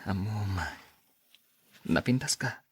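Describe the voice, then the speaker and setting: Low, husky, patient suitor. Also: male voice, animated, slow, no echo, full character voice. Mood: pleading. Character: kind, patient young man advising the woman he loves. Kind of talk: radio drama acting in dialogue.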